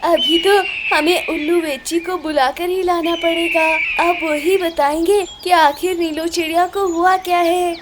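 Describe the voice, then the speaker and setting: A woman playing an excitable, impatient male bird in a children's cartoon, eager and exclamatory. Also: very high-pitched, unhurried, few pauses, very noisy. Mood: concerned. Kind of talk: Hindi children's cartoon dubbing.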